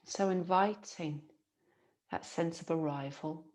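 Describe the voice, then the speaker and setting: Slow, low-voiced woman guiding deep relaxation. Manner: soothing, unhurried, gentle with long pauses. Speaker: yoga nidra teacher. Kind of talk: guided relaxation meditation.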